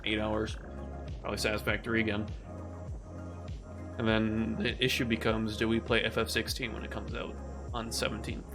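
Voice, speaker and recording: Laid-back male streamer, voice turning raspy. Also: on the low side, monotone, moderate pace, pauses often. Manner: laid-back, swears casually, talks to chat. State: voice getting raspy and rough. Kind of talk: casual live game-stream commentary. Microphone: condenser studio mic. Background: game music.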